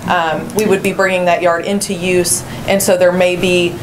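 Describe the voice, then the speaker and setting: A woman public affairs director for a railroad, explaining plans quickly and politely with some ums. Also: lowish voice, flat delivery. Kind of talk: official explanation at a meeting. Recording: echoey room, loud background noise.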